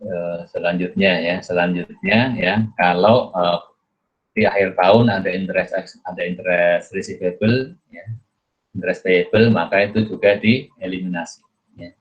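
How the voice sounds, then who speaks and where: A deep-voiced man explains material like a lecturer. He moves haltingly, repeating himself and tagging phrases with small checks. The audio is muffled and lo-fi. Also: fairly even intonation. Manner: halting, repetitive, punctuates phrases with a checking tag. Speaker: accounting lecturer.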